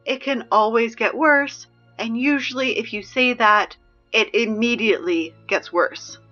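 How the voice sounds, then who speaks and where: A young woman playing a wry, peppy teenage girl who reads high school announcements in a chatty, sardonic, emphatic way for a scripted audio drama. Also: a bit bright, dull audio.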